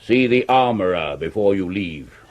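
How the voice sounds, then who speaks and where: An actor playing a brusque, pompous Victorian police commissioner with an upper-class English accent in a radio drama. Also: male voice, deep voice, expressive, normal speed. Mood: brisk command. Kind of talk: 1970s radio drama acting.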